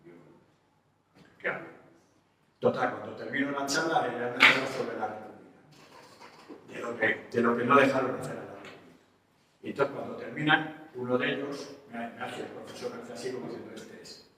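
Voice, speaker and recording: Autor español, acento de España, narrador animado de anécdotas; toma lejana y resonante. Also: male voice, mid-range voice, brisk, background noise. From Spain. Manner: lively anecdotes, acts out quoted dialogue. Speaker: author presenting his book. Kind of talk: casual group conversation, storytelling. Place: room at a book presentation. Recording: echoey room, distant mic.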